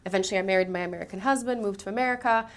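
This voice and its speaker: Brazilian-born woman guest, earnest and reflective storyteller, mid pitch. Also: very fast. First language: Portuguese. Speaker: guest, singer and speaker coach. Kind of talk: interview conversation.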